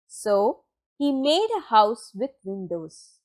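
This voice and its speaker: A woman reading a children's picture book aloud in an expressive, deliberate storytelling manner with dramatic pauses. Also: mid-range voice. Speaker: storybook reader.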